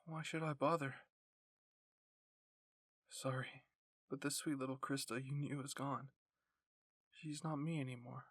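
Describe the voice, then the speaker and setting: A man voicing a sad, quietly bitter young woman soldier in an anime fan fiction roleplay, subdued and downcast. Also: mid-range voice, fairly even intonation, unhurried, pauses often, dry recording, clean studio sound.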